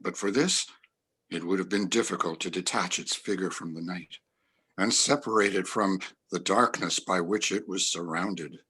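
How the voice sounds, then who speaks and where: A man reading a classic story aloud as narrator, in a grave, measured, deliberate manner. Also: fairly low pitch, fairly bright tone, slightly rough. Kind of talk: scripted dramatic story narration.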